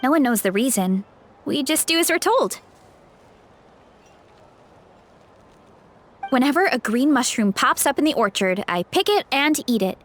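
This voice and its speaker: Young female orchard grower for a game: high, animated, sweet, easygoing and earnest. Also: a little fast. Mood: matter-of-fact. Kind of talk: English video game voice acting.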